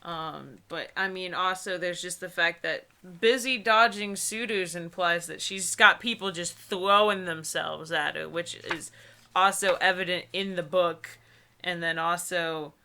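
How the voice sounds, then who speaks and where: Young female co-host, lowish and bright, chatting casually and reading aloud with sarcastic, opinionated flair. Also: unhurried, clear, resonant tone. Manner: animated, opinionated, sarcastic asides. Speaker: co-host of a comedic book-reading show. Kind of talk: casual chat mixed with mocking dramatic reading.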